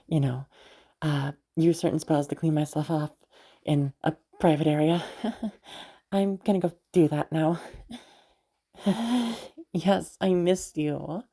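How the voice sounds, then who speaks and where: Low, creaky young noblewoman mage, acted by a woman. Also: warm tone, heavily acted. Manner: halting, stammering, giggly, mild swearing. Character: flustered, affectionate young noblewoman mage, protective of her knight. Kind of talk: intimate roleplay audio drama.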